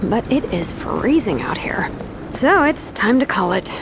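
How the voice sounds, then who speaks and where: A woman's voice: medium pitch, very animated, measured pace, gravelly, noisy background. A woman voicing a laid-back young vice captain in a video game, casual and teasing. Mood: easygoing. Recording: background music under dialogue.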